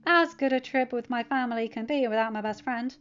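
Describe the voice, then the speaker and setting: A woman voicing an excitable, loyal teenage boy, the hero's best friend, in a gushing, enthusiastic way for an audiobook. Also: on the high side, brisk, clear, resonant tone, muffled recording, voice pushed up, lightly acted. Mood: friendly.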